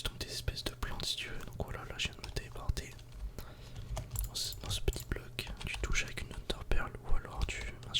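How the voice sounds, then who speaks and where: A man whispers casual ASMR game commentary in a breathy, unvoiced voice, speaking directly to the viewer. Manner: casual, soft-spoken, addressing the viewer directly. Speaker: ASMR gaming video creator.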